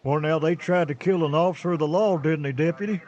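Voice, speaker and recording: An actor playing a blustery, irritable small-town sheriff with a Southern American accent in a comic radio drama. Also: male voice, fairly high pitch, fast talker, darkish tone, highs cut off, a little hiss or hum, close to an everyday voice. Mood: gruff, irritable.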